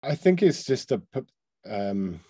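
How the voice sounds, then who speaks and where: A man giving informal feedback on a panel, speaking in a halting, thoughtful way. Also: medium pitch, sing-song, warm tone, breathy edge, no room sound, clean studio sound. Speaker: casting director on a feedback panel.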